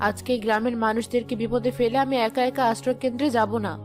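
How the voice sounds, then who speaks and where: A woman's voice: a little high, steady tone, fast talker, close to an everyday voice. A woman voicing a principled, defiant village woman in a cartoon moral story, firm and earnest. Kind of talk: animated moral story voice acting. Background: loud background music.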